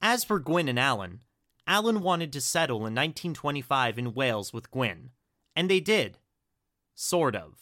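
A woman playing a gushing, excitable young adventurer who retells her story in an audio drama with gleeful exclamations. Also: extremely low pitch, normal speed, dry recording, clean studio sound, full character voice. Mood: wry. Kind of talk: audio drama narration in character.

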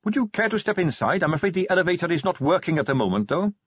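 An earnest, polite young captain of the watch, bright-voiced and eager to explain, as a man puts him on. His voice rises and falls freely, and the read sounds slightly dull. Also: high voice, very animated, fast, breathy edge, no echo, voice pushed up, heavily acted.

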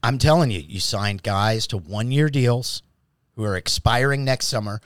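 A man's voice: medium pitch, normal speed, no echo. A man co-hosting a casual sports podcast, arguing basketball bluntly and emphatically with firm opinions.

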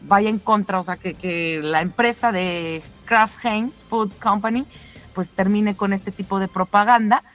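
A woman presenting the news in an informal, conversational way, somewhat halting and given to rhetorical questions. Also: medium pitch, a bit bright, soft background music.

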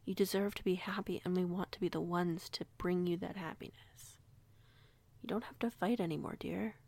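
A woman playing a young, kindly, protective werewolf pack alpha in an audio roleplay, measured and gently authoritative. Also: fairly low pitch, steady tone, gravelly. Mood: gentle, reassuring.

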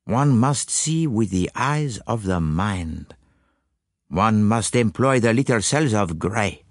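A man voicing a self-assured, courteous and exacting detective in an audiobook, with a pompous, deliberate manner. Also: lowish voice, expressive, dry recording, studio quality. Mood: solemn, knowing. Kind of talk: audiobook character voice.

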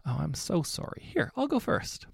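Man putting on a chirpy, high young witch girl. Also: big pitch swings, moderate pace, creaky, husky, no echo, clean studio sound, exaggerated voice. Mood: apologetic. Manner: cheerful, eager, breathless.

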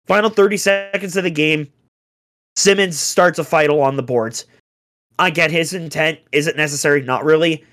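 Young dude, slightly high voice, hoarse, heated and fed up, lots of "are you kidding me". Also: male voice, moderate pace, fairly bright tone. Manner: heated, exasperated, rhetorical questions. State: getting hoarse. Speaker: podcast co-host, hockey fan. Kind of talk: casual sports talk, ranting.

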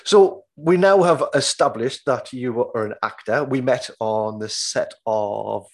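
A man's voice: mid-range voice, measured pace. A man hosting his own podcast, introducing and interviewing a friend in a friendly, enthusiastic way. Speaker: podcast host. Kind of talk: casual interview.

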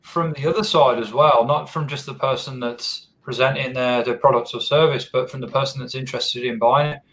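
A man's voice: mid-range voice, fairly quick, slight background noise. Easygoing male podcast host, casual and conversational. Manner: relaxed, repeats words while searching for phrasing. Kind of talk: casual interview chat.

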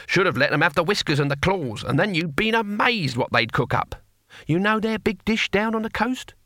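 A man voicing a scrawny old barbarian warrior in an audiobook, gruff, sarcastic and cackling, with rough colloquial speech. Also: sits high, very animated, normal speed, sharp tone, dry recording, studio quality, pitched above the performer's own voice, full character voice. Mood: cackling, amused. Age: older. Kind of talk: audiobook character voice.

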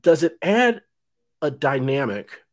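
A man's voice: a little high, very animated, slow pace. A man in his fifties hosting an interview podcast, talking in a rambling way with many fillers and restarts. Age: 50s. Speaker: podcast host. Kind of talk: casual interview chat.

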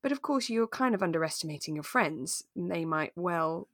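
A woman co-hosting a relaxed discussion podcast. Her voice sits a little low and she talks conversationally, thinking things through aloud. The sound is dry, with no room on it. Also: moderate pace, no echo. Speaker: podcast co-host. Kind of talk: relaxed chat discussing a radio drama.

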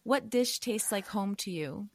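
A woman's voice: mid-range voice, expressive, a little slow, a little hiss or hum. A woman hosting an interview, asking questions in an enthusiastic, affirming way. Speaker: host. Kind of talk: casual interview talk.

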